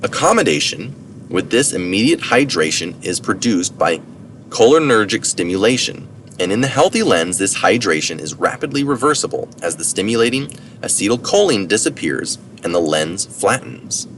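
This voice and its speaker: Low-voiced man reading, distant and noisy. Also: sing-song, normal speed, a bit bright. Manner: informal asides for citations, occasional self-correction. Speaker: reader of articles. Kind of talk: read-aloud science article narration. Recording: distant mic, noticeable background noise.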